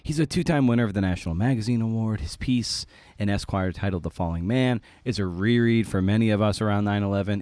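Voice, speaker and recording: A man with a fairly low voice, recorded close and dry, introduces his podcast in a casual monologue. He is wry and self-deprecating, dropping in playful asides and repeating phrases for emphasis. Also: mellow, no room sound. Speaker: podcast host.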